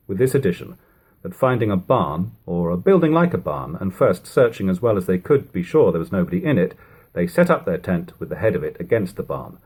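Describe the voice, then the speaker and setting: Husky low-voiced man reading with lively intonation. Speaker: audiobook reader. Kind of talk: expressive reading of an old literary narrative. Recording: homemade lo-fi reading.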